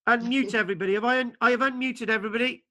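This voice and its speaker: A man's voice: very high-pitched, steady tone, very fast, pushed and bright. A man lecturing over a video call, stammering and repeating words, with many fillers as he leads a discussion. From Wales.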